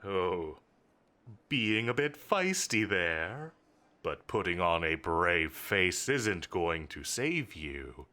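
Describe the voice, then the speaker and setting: A man's voice: low-pitched, very animated, takes it slowly, bright, forceful tone, exaggerated voice. A man playing a smug, menacing villain boss in an audio roleplay, with a theatrical, mocking, condescending delivery. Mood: smug, taunting. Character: smug, menacing male supervillain boss toying with his enemy.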